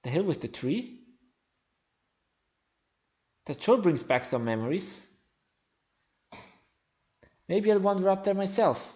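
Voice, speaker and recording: A game player voicing a thoughtful young hero of a fantasy adventure in a hushed, earnest, reflective manner. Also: mid-range voice, animated, slow pace, pauses often, muffled recording. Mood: musing.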